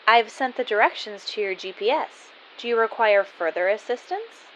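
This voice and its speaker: A woman's voice: on the high side, animated, normal speed, fairly bright tone, slight background noise, low-quality recording. A woman playing a polite, formal computer assistant speaking through an earpiece in an audio drama. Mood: polite, neutral. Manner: formal, helpful.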